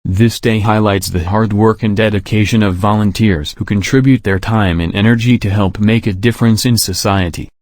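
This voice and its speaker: A man with a fairly low voice reads test passages aloud at an even, steady pace. The sound is very dry and close, with no room around it. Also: moderate pace, few pauses, no echo. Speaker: model answer reader. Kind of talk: reading exam passages aloud.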